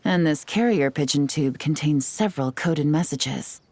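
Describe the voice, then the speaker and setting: A woman's voice: low-pitched, moderate pace, darkish tone, dry recording. A woman playing a cool, shrewd, confident intelligence agent in a video game, speaking in an assured, instructive way.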